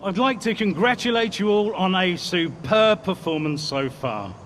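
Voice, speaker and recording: An actor playing a blunt, avuncular older racing team boss in a video game cutscene. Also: male voice, high voice, expressive, moderate pace, a bit bright. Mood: jovial.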